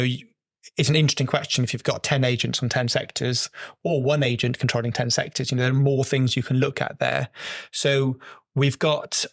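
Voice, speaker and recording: A man speaks as an interviewed researcher, explaining technical work in conversation. His pitch is mid-range and he rephrases as he goes. The recording is close and very dry, with no room sound. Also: no echo. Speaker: project researcher being interviewed.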